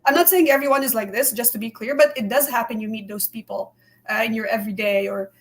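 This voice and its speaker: Female podcast guest, slightly high voice, earnest and candid, lots of restarts. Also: fast. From immigrant to Canada. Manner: earnest, repeats words and hedges often. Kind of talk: candid conversational interview.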